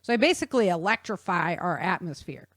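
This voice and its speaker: A woman's voice: on the low side, lively intonation, fairly quick, fairly bright tone, no room sound, clean studio sound. A woman hosting a podcast, explaining things casually in an informal, nerdy-enthusiast way. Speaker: podcast host.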